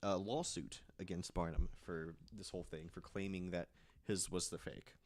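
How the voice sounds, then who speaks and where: A man's voice: low-pitched, fairly even intonation, moderate pace. A man telling a story casually to friends as a podcast panelist, conversational and checking in with listeners as he goes.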